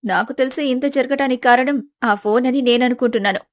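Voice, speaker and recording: A woman storyteller plays a caring, bossy young woman in a family tale. She speaks in a fairly high voice, scolding and advising like a loyal friend. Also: a little fast, no room sound, lightly acted. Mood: firm, advising.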